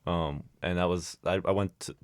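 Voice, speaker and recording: Low-voiced man hosting a podcast. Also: steady tone, no room sound. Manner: halting, many ums and restarts. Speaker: podcast host. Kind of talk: casual interview chat.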